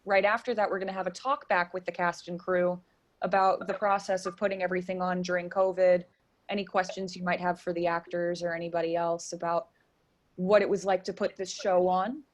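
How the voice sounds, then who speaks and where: A woman's voice: fairly low pitch, level tone, brisk. A woman speaking casually and earnestly as a theater guest in an interview. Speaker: guest, stage director.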